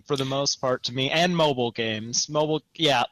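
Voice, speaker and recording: A young man co-hosting a podcast in casual discussion, opinionated and thinking aloud in a halting way. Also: mid-range voice, fairly bright tone, no room sound. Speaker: podcast co-host. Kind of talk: casual chat, gaming discussion.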